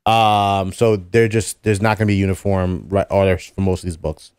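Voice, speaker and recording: Man, low voice, dry close sound, excitable rambling podcast co-host. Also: normal speed, clean tone, dry recording. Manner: enthusiastic, rambling, slangy. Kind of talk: casual comics chat.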